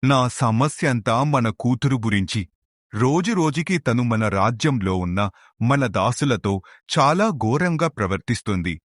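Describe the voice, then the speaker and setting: A man's voice: medium pitch, no echo, clean studio sound. A man voicing a worried, dutiful king and troubled father in a cartoon moral story.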